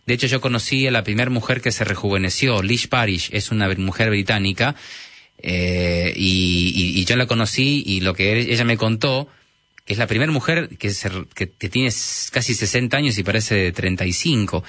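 A man's voice: fairly low pitch, normal speed, pushed and bright, dry recording. A man from Argentina with a mild Argentine accent, speaking as a technology guest in a conversational interview, hesitant with many fillers and restarts. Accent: Argentine Spanish, mild.

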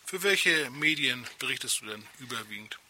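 A man's voice: medium pitch, animated, moderate pace, bright, forceful tone, slight background noise, poor audio. A man presenting a radio programme as host and interviewer. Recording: music behind.

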